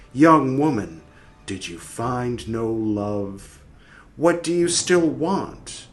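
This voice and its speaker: A man reading aloud as a solemn disembodied soul, questioning the dead slowly and gravely. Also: mid-range voice, voice pushed up. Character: solemn disembodied soul, male, stern and probing.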